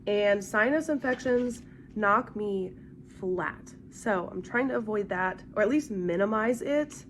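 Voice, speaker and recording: A young woman vlogging in a relaxed, rambling way, sounding congested and sick. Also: medium pitch, measured pace, slight background noise. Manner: rambling, chatty with fillers. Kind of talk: casual vlog chat.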